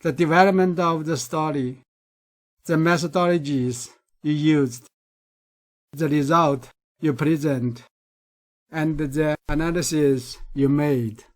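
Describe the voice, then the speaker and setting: Chinese-accented male researcher, lecture voice-over, unhurried and careful, slightly raised pitch. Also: fairly even intonation. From China. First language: Mandarin Chinese. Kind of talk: recorded lecture.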